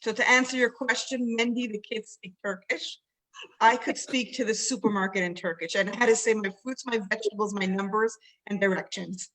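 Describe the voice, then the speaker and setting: A woman in a casual live-stream chat talks in a relaxed, chatty way, and her tone stays good-humored as she explains. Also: mid-range voice, a little fast, low-quality recording. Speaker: rabbi's wife.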